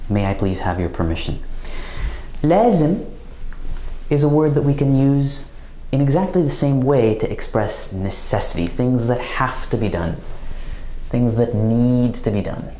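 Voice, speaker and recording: A man giving a recorded language grammar lesson, explaining slowly and carefully with example phrases. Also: mid-range voice, expressive, warm tone, echoey room, slight background noise, poor audio. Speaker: language teacher.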